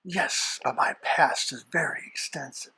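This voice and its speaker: A performer voicing the Devil as a sly, haughty old boss, formal and deliberate, husky and whispery, bantering as a podcast guest. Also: mid-range voice, bright, forceful tone, full character voice, low-quality recording. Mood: sly, menacing. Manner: formal, deliberate, darkly threatening.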